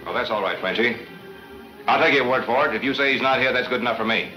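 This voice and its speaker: An actor playing a shrewd, confident American police detective in a 1940s film serial. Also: male voice, medium pitch, fast talker, bright, forceful tone, lightly acted, low-quality recording. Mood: wry, guarded. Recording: old 1940s film soundtrack with music.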